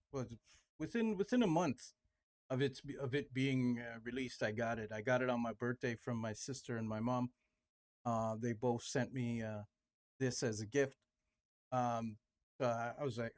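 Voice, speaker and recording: A fifty-year-old man talking casually about making music, rambling and restarting his sentences, with lots of fillers. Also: mid-range voice. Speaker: music producer vlogger. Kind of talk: casual home-studio vlog.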